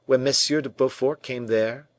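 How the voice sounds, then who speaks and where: A man's voice: mid-range voice, fairly even intonation, normal speed, dry recording, pitched above the performer's own voice, lightly acted. A man voicing a young French king who asks curt, imperious questions in a raised voice. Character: young king, commanding and guarded.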